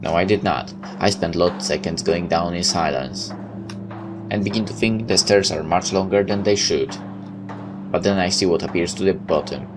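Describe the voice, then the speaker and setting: Very deep-voiced man reading a visual novel. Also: normal speed. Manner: wry, dramatic reading with stumbles. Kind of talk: let's play read-aloud with joking asides. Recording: music behind the voice. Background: game music with guitar.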